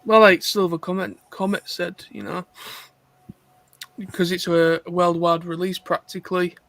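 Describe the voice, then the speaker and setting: Male chat participant, high voice with plenty of creak, informal. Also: moderate pace, fairly bright tone, dry recording. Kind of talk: casual chat.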